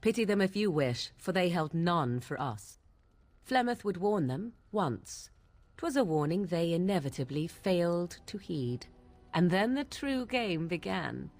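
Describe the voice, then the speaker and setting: A woman playing a haughty, sardonic young witch in a fantasy game, with disdainful, deliberate, old-fashioned diction. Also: on the low side, very animated, darkish tone, heavily acted. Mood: wry, scornful. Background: game music.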